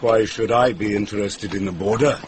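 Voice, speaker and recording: A man's voice: fairly low pitch, a little fast, close to an everyday voice. An actor playing a proud, wary lord in a radio drama, commanding and sardonic as he questions. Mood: wary, testing. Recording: noisy vintage radio drama recording.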